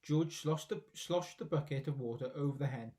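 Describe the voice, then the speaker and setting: A man's voice: fairly high pitch, normal speed, mellow. A man narrating a children's story expressively, as a reader reading aloud from a book.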